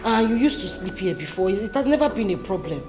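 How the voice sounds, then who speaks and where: A woman's voice: mid-range voice, sing-song, quick pace, reverberant, over music, natural voice. A woman playing an anxious Nigerian girl. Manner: worried, pleading, stammering. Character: anxious young woman waiting for her brother.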